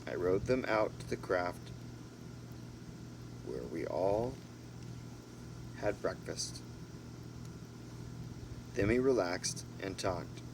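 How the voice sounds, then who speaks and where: A man's voice: low-pitched, extremely slow, pauses often. A man reading a storybook aloud in an informal way, sometimes stumbling and correcting himself. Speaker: amateur audiobook reader. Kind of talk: storybook narration with asides. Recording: noisy home recording with steady hum.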